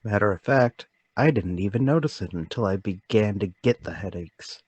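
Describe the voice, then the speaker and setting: A haunted, forgetful little salesman from a radio play , acted by a man. His voice is lowish and dry, and he speaks with meek courtesy and self-pity. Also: lively intonation, normal speed, a little mellow, husky, no echo, lo-fi sound. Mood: weary. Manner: polite, apologetic, self-pitying. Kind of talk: radio play table read.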